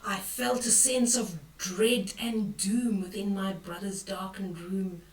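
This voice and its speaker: An older woman reading a picture book aloud to children, expressive and dramatic, with hushed whispers and exclamations as she comments on the pictures. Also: mid-range voice, slow pace. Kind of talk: bedtime read-aloud with asides on the pictures. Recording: roomy echo, distant from the mic.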